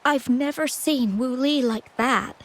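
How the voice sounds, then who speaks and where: Gentle, anxious young woman, high breathy voice. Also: takes it slowly, heavily acted. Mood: shaken, polite. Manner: soft, hesitant, stammering, polite. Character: anxious, gentle, ailing young woman devoted to her lover. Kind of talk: video game voice acting.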